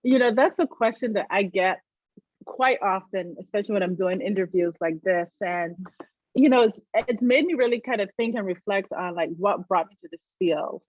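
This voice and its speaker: A woman's voice: medium pitch, normal speed, dry recording. A middle-aged woman from Barbados, a psychologist, reflecting thoughtfully as a guest in an interview. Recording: dry tinny phone-like line.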